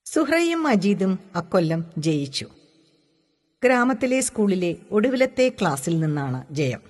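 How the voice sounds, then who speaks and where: A woman from reads literary prose aloud as a measured, deliberate storyteller. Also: medium pitch, very animated, darkish tone, soft background music. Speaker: audiobook reader. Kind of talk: expressive literary narration. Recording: long hall-like reverb.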